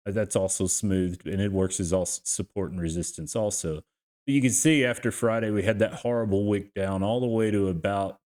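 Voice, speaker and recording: A man's voice: fairly low pitch, a little mellow. The Texan man you'd hear on an online trading channel, chatting folksily through market charts like a neighbor over the fence. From Texas, USA. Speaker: host of a crypto trading channel. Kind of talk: casual market chart commentary.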